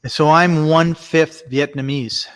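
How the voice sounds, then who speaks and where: A man's voice: on the high side, steady tone, measured pace, a bit bright. A man hosting a casual remote chat, joking around and explaining ideas through long spoken analogies. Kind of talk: casual podcast chat over a call. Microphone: remote call mic.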